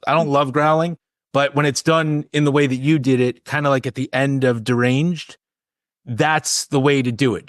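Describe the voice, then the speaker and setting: A man's voice: medium pitch, no echo, studio quality. A man interviewing a band, chatting casually and enthusiastically with plenty of compliments.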